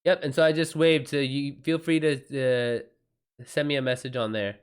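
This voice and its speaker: A man's voice: mid-range voice, steady tone, moderate pace, clean tone. A man hosting a livestream in a casual, informal way, chatting with viewers in slangy, sweary language. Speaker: livestream host, author taking writing questions. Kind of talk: casual livestream chat, reading and answering messages.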